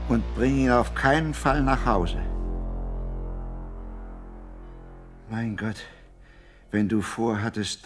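Man playing worried old dad in a bible radio drama, really low grave voice. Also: close to an everyday voice. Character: anxious fatherly old man, biblical shepherd boy's father. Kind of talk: German radio play acting.

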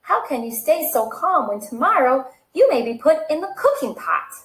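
A woman's voice: high-pitched, big pitch swings, moderate pace, roomy sound, voice pushed up. A woman reading as a scornful spider. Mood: mocking. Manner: mocking, scolding. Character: scornful female spider, sharp-tongued.

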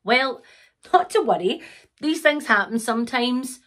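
A woman's voice: on the high side, animated, measured pace, fairly bright tone. A woman voicing a bumbling male magician pony in a children's storybook, with showy, flustered patter. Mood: blustering. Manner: blustering showman patter. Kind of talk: children's picture book read-aloud.

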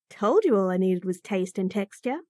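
A woman's voice: medium pitch, a little mellow, no room sound. Sassy bunny girl chef, woman acting it, bouncy singsongy voice, takes her time, super dry recording. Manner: sassy, bratty, teasing. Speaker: voice actor in a roleplay audio. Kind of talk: F4M roleplay audio, acted monologue.

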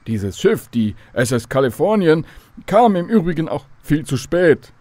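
A man's voice: fairly high pitch, big pitch swings, unhurried, a little mellow, no room sound. A man playing a knowledgeable storyteller who explains history precisely to children in a scripted radio drama. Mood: informative.

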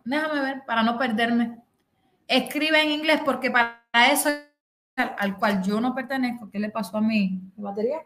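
A woman's voice: high-pitched, pushed and bright, echoey room. A middle-aged Cuban woman with a Cuban accent, telling a story on a video call and acting out the people in it. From Cuba. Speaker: host. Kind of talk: casual chat on a video call. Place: bedroom, indoors.

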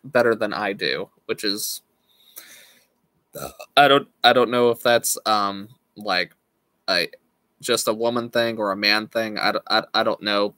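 A man in his thirties chatting casually with viewers on a live stream from a small room at home, slowly losing his voice and speaking in halting restarts. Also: lowish voice, sharp tone, no room sound. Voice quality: slow, halting, with frequent restarts and laughs. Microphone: webcam at desk.

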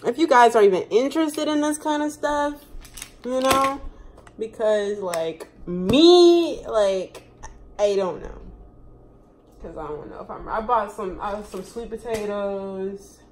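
A woman's voice: medium pitch, expressive, takes it slowly, a little mellow, slight background noise. Chatty young woman vlogging in her kitchen. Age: 20s. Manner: chatty and rambling, lots of fillers, laughs often. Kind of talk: casual chat. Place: home kitchen, indoors. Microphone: phone camera propped close on the counter.

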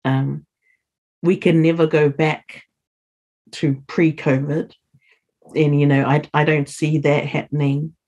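Middle-aged woman, earnest urging tone, slow unhurried delivery, video call audio. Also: deep voice, mellow. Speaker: guest. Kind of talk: earnest interview talk.

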